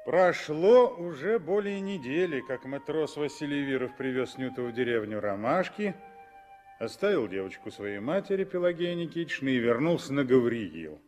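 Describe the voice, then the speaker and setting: A man's voice: mid-range voice, very animated, moderate pace, a little mellow, faint music behind. A man narrating a story in the scripted style of 1960s Soviet radio drama.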